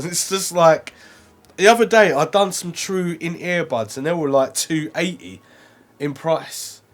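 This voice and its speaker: A young man reviewing earphones in a casual, rambling way, full of fillers and restarts. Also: a little high. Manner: rambling, self-correcting, lots of fillers. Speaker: product reviewer.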